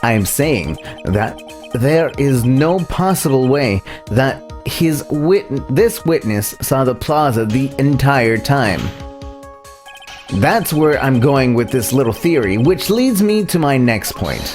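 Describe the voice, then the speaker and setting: A man's voice: medium pitch, big pitch swings, slow, darkish tone, faint music behind. A man voicing an earnest, argumentative defense lawyer in a courtroom video game. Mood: firm.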